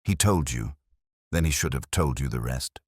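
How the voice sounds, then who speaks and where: Male reader as frightened lady in a detective audiobook: guarded, urgent confider, low pitch, dry close sound. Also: lively intonation, normal speed, darkish tone, slightly rough, no echo, heavily acted. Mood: anxious confession. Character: anxious, fearful married woman confiding a secret.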